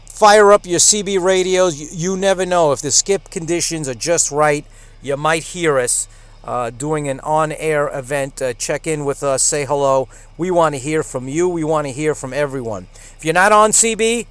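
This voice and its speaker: A male radio host speaks in a fairly high-pitched voice, addressing listeners as if delivering big station news. His delivery is emphatic and showy, stretching phrases out for effect. The sound is dry, with hardly any room to it. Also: clean tone, no room sound. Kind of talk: station announcement talk to listeners.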